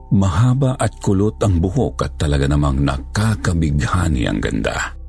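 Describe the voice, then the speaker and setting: A man narrates a scripted horror story in the first person. His voice sits very low with a fry-laden grain and moves expressively up and down, over a music bed. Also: big pitch swings, moderate pace, a little mellow. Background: background music.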